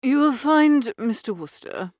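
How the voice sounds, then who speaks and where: A man's voice: extremely high pitch, very animated, a little slow, no room sound, studio quality, voice pushed up, heavily acted. A man voicing a dignified English valet in a storybook, formal, precise and politely scathing. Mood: coolly dismissive.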